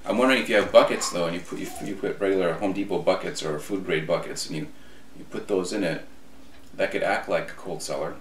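A man's voice: sits low, fast talker. A man in his forties co-hosting a gardening video, making dry joking asides and giving practical suggestions. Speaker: co-host. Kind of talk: casual chat. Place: kitchen, seated at table. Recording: roomy, distant mic, faint hiss.

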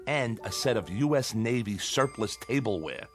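Radio drama: male actor as grouchy American small-time crook, slangy, scornful husband, very animated delivery. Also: lowish voice, moderate pace, music in the background, lightly acted. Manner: sarcastic, slangy, griping.

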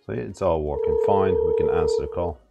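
A man narrating a casual step-by-step product setup tutorial in a plain, halting instructional way. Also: deep voice, creaky, muffled recording. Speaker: product demonstrator. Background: background music.

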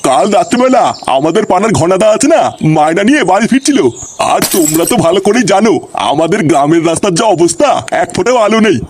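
An excitable, scolding Bengali cartoon villager, acted by a man, pitched extremely high. The words tumble out at a frantic speed in crude, agitated village slang, with faint noise on the recording. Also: fast. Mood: excited, gossipy.

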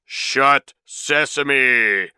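A man's voice: mid-range voice, extremely slow, sharp tone, a little hiss or hum, voice pushed up, full character voice. A man voicing a commanding, ruthless leader of thieves in a storybook, barking orders imperiously.

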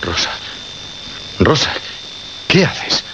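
An actor playing an anguished radio presenter in a horror drama, grave, imploring and halting, repeating himself. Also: male voice. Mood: distressed pleading. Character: anguished male radio presenter who unleashed the dead. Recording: muffled radio recording with clear hum.

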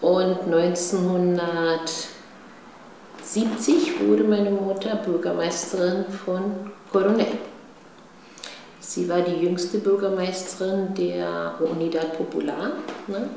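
A woman in her fifties with a Berlin accent, giving personal testimony in a halting way, searching for words and often adding tag questions. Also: low-pitched, gravelly, dark tone. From East Berlin, Germany, born in Chile. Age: 50s. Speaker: interviewee, political activist. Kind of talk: personal testimony, recounting family history to camera. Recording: very echoey room, faint background noise.

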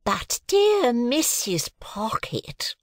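A fawning Victorian lady, played by a man for an audiobook. The voice is high and swings dramatically up and down. The manner is gushing, sugary and snakily flattering, in a close dry recording. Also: very slow, no room sound, full character voice. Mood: gushing, tearful sympathy. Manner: gushing, cloyingly sentimental. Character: gushing, fawning middle-aged lady, snaky toady neighbour. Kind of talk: audiobook character voice in a Victorian novel.

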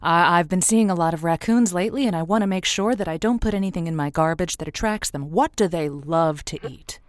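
Woman playing a wry folksy radio agony aunt, lowish voice, really animated, dry studio sound. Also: a little fast, dry recording, close to an everyday voice. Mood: helpful, wry. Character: quick-witted, sympathetic woman radio advice host named Josie. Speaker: radio advice show host. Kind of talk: audio drama call-in show acting.

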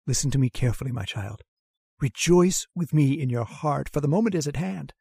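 Gravelly mad preacher-like killer. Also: male voice, medium pitch, animated, a little fast, dry recording, clean studio sound, soft background music. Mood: soft menace. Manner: preachy, hushed and menacing, swelling to ranting. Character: mad murderous male lodger, pious, scripture-quoting zealot. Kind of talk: old-time radio horror acting.

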